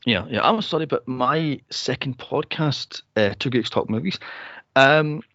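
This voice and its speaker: A man's voice: unhurried, sharp tone, dry recording. A man co-hosting a film podcast, chatting casually and animatedly and slipping into exaggerated voices when he retells stories. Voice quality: says he has a deep, straight-sounding voice. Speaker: podcast co-host reviewing films. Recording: dry, slightly dull podcast mic.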